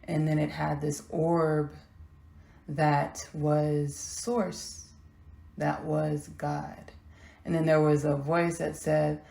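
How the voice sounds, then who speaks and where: A woman from Texas, hosting a podcast and delivering a slow, halting spiritual monologue with long pauses. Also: low-pitched, level tone, vocal fry, warm tone, reverberant. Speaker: podcast host.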